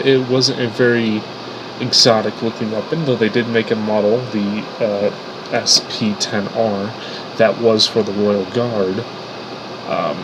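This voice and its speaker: A man's voice: lowish voice, noisy background. A man who is sick and congested, explaining his 3D weapon models in a casual, unscripted way with fillers and restarts.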